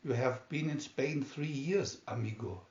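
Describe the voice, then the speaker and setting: A man reading the part of a knowing, friendly but grave ship's officer in a storybook, measured and declamatory. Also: mid-range voice, a little mellow, close to an everyday voice. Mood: grave, warning. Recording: roomy, dull home recording.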